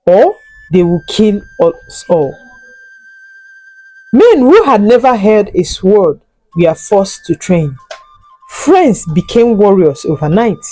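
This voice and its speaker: Slow, dramatic woman storyteller with lively pitch. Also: low-quality recording. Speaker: storyteller, narrator. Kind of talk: scripted folk tale narration, dramatic.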